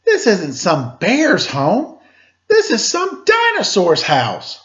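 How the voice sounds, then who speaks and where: A dull, lo-fi storybook recording of a man acting out a rude, worn-out little girl. The voice jumps up high and swings around with exasperation. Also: huge pitch swings, slow, fairly bright tone, exaggerated voice. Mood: dawning realization. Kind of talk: storybook character voice.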